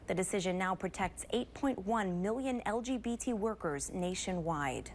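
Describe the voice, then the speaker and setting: A woman's voice: mid-range voice, animated, moderate pace. A woman with an American accent reading scripted television news as an anchor. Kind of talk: scripted news broadcast narration.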